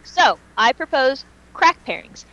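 A woman's voice: high-pitched, big pitch swings, unhurried, pushed and bright, dull audio. A woman chatting casually as a fan podcast panelist, speaking in a gushing, emphatic and dramatic way.

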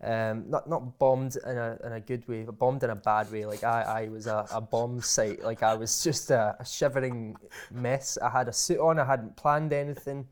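A man's voice: mid-range voice, fairly even intonation, normal speed, hardly any pauses. A man chatting as an interview guest. He hesitates often and repeats his words as he restarts his sentences. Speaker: guest, aspiring motivational speaker. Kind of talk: casual interview chat.

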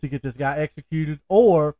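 A man with a fairly high-set voice talks as a podcast co-host. He chats casually about films, telling things in an informal, animated storytelling manner. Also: moderate pace.

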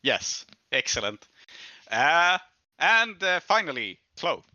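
A man from Sweden hosting a tabletop game podcast as game master, lively and laughing often. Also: a little high, takes it slowly, no echo, lo-fi sound.

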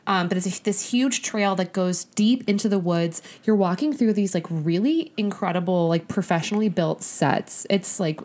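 A young American woman with a slightly raspy voice, chatting casually and enthusiastically as a podcast co-host. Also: mid-range voice, moderate pace, hardly any pauses, a little mellow. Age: young adult. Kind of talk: casual chat. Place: home studio in a bedroom.

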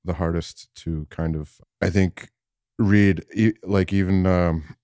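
A man's voice: low-pitched, fairly even intonation, slow pace, warm tone, dry recording, studio quality. A man in his late thirties co-hosting a casual music podcast, rambling and self-deprecating with lots of fillers. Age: 30s. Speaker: podcast co-host. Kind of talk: casual chat.

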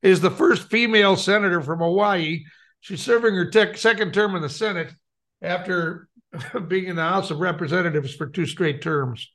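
Bright, high-pitched man co-hosting radio. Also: moderate pace. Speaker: radio show co-host. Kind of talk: casual radio banter and reading of odd news items.

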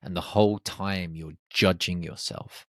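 A man hosting his own podcast, talking alone in a lively, animated way with plenty of exclamations. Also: deep voice, measured pace, dry recording, studio quality. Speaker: podcast host. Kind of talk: solo podcast monologue. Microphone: podcast microphone.

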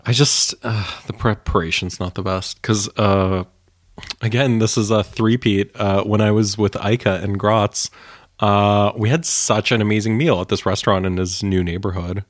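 A man co-hosting a podcast, chatting casually with quick, wry asides. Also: on the low side, steady tone, dry recording, clean studio sound. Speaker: podcast co-host. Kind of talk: casual chat.

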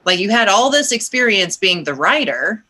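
A woman hosting an interview as a coach, talking in an encouraging, reflective way and drifting lower for asides. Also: big pitch swings, moderate pace, sharp tone, breathy edge. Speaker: podcast host, coach. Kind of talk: conversational interview.

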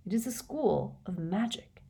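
A woman voicing an elderly male wizard headmaster who speaks in a patient, measured, courteous way, read as part of an audiobook. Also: mid-range voice, expressive, creaky, warm tone, husky, voice pushed up, full character voice. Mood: calm. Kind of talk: audiobook character voice.

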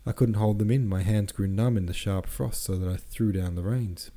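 A man's voice: on the low side, normal speed, warm tone, close to an everyday voice. A man voicing a cheeky, deferential peasant coach driver in his twenties from a novel, boastful in his storytelling. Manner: respectful address, boastful storytelling.

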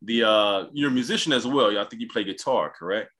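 A man's voice: on the low side, a little fast, a bit bright. A man interviewing a musician, enthusiastic and casual, stumbling over his words as he asks questions. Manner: enthusiastic, stammering restarts, casual slang.

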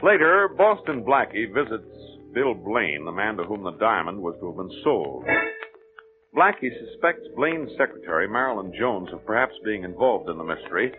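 A male radio announcer giving a measured, dramatic recap in scripted narration. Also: fairly low pitch, sing-song. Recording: tinny old radio recording with clear music.

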